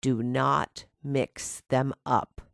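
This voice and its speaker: A woman voicing a lonely, kindly old woman in a storybook, speaking gently and without hurry. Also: a bass voice, level tone, extremely slow, creaky, no echo, voice pushed down, full character voice. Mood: instructing.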